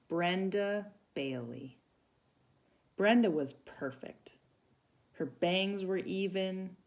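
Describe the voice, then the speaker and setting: A woman reading a children's story aloud expressively, pausing to chat with young listeners. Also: fairly low pitch, creaky, a little mellow. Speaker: volunteer storybook reader for children.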